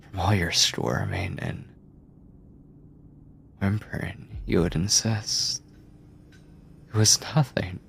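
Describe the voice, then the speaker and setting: A man playing an obsessive mad scientist captor in a horror ASMR roleplay, coldly doting and clinical, with condescending endearments, theatrical pauses and a breathy, husky voice. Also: deep voice, slight background noise, full character voice. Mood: condescending, tender. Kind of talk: horror ASMR roleplay, intimate monologue to listener.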